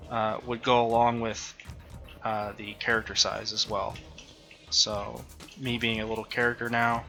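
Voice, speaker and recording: A man walks through his own game in a relaxed devlog, pausing often with ums and uhs as he thinks aloud. His voice is very bright and forward, sitting at an ordinary pitch for a man. Soft music plays faintly behind him. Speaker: indie game developer. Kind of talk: casual devlog walkthrough. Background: faint background music.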